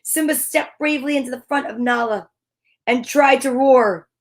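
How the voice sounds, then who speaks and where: A woman's voice: sits high, normal speed. A woman hosting a story time, reading a children's tale dramatically with comic asides in a theatrical manner. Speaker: host and storyteller.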